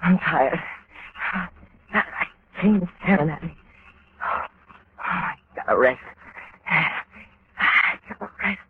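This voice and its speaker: A man's voice: a little high, lively intonation, takes it slowly, pauses often, phone-quality sound. An actor playing a meek, terrified man on the run, pleading and stammering in a 1940s radio drama. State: frightened, near tears. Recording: scratchy old radio recording, hiss.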